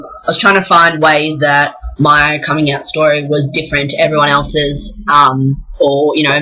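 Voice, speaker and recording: A young Australian man from the south coast of New South Wales, talking frankly and in a rambling way in a personal vlog, with many pauses. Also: on the high side, sharp tone, low-quality recording. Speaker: vlogger. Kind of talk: casual personal vlog talk.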